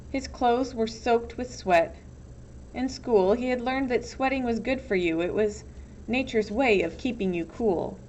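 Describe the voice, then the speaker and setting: A woman's voice: fairly high pitch, a little mellow. A woman reading a children's novel aloud as storybook narration. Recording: dull, lo-fi with some background noise.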